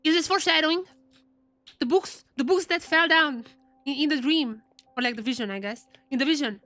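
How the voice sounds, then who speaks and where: High-voiced woman streaming a game. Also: fairly quick, pushed and bright, dry recording. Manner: chatty, repeats phrases for emphasis. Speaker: live streamer reacting to a game. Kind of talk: casual live game reaction commentary.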